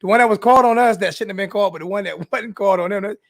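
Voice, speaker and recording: A man's voice: high voice, rapid-fire, creaky, a bit bright, no room sound, studio quality. A man in his forties in a casual sports chat, repeating his phrases for emphasis. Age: 40s.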